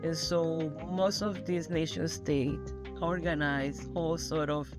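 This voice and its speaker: A woman answers an interviewer at length. She talks with strong emphasis and restarts her sentences often. Guitar music plays clearly beneath her voice. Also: sits low, fairly even intonation, slow pace. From Venezuela. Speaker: guest scholar.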